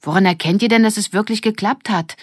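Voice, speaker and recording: A woman voicing a quick-thinking young girl in a children's audiobook, brisk and spirited. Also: medium pitch, slightly rough, no room sound, studio quality, pitched above the performer's own voice, natural voice. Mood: curious. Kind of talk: audiobook character dialogue.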